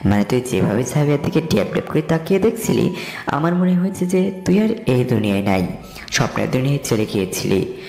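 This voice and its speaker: A man's voice: medium pitch, very animated, brisk, gravelly, warm tone. A man playing a chummy, teasing young college friend in an audio story. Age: young adult. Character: teasing, warm-hearted young college buddy, male. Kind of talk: dramatised audio story acting. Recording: echoey reverb with music behind.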